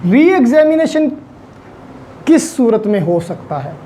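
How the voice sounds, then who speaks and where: A woman's voice: fairly high pitch, sing-song, unhurried, dark tone, slight background noise. A woman with a Pakistani accent lecturing on law in a didactic way, mixing in English terms and repeating key points for emphasis.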